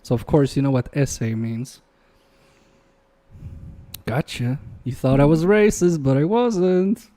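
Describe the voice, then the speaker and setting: A man's voice: a little high, slow pace, long pauses, dark tone. A young man whose first language is Polish, chatting casually with a live-stream chat while repairing electronics at his workbench and muttering to himself as he works. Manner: chatty, reads chat aloud, trails off muttering while working. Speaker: livestream repair host.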